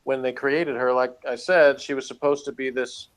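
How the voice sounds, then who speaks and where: Male reviewer, medium pitch, informal conversational delivery, prone to chuckling. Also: steady tone, moderate pace, clean tone. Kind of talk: casual conversational review chat.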